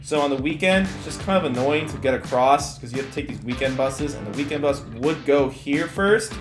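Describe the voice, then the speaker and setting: Young adult male storyteller, Californian accent, animated vlog chat, very roomy sound over light guitar music. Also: mid-range voice, a little fast. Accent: Californian American. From California, United States. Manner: animated, slangy, self-mocking. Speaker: YouTuber telling stories. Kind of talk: casual storytime chat to viewers. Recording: echoey room with music bed. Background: background music with guitar.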